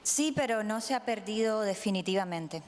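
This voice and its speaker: A woman from Cuba speaking as a panel guest, measured and with frequent hesitations. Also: mid-range voice, expressive, a little mellow, a little hiss or hum. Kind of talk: panel discussion talk. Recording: hall with long reverb.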